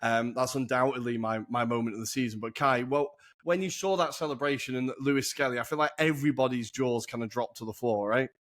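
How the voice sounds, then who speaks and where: A young man hosting a casual football podcast over a video call, chatty and enthusiastic with quick asides. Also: mid-range voice, fairly even intonation, a bit bright. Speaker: podcast host. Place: humid room in a city flat.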